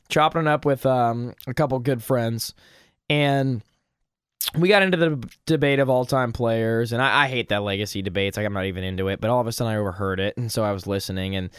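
A man co-hosting a casual sports talk show, slightly hoarse, animated and joking with many fillers. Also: medium pitch, no room sound, studio quality. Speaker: sports podcast co-host.

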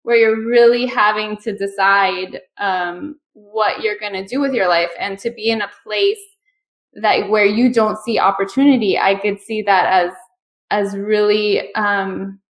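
A woman in her thirties hosting a podcast, asking questions in a chatty way and thinking aloud with fillers. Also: medium pitch. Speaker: podcast host. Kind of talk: conversational interview.

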